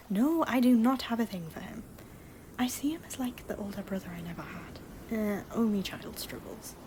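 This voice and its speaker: A gentle middle-aged noblewoman, played by a woman, confides in a breathy half-whisper at a medium pitch. Her ASMR monologue is intimate and softly theatrical, with a wistful temper. Steady ambient noise is clearly heard behind her. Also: lightly acted. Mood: fond, playful. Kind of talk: whispered ASMR comfort roleplay, scripted character monologue.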